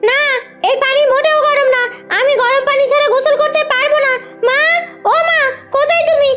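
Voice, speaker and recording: A woman's voice: very high-pitched, little pitch movement, a little fast. A woman voicing a spiteful, whiny young sister-in-law in a cartoon, demanding and nagging. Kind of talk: exaggerated cartoon voice acting. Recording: loud music under the voice.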